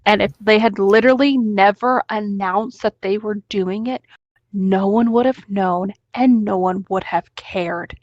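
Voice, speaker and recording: A woman's voice: medium pitch, unhurried, no room sound. Casual, outspoken woman on slightly dull audio. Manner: informal, emphatic, swears freely. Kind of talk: casual opinionated chat.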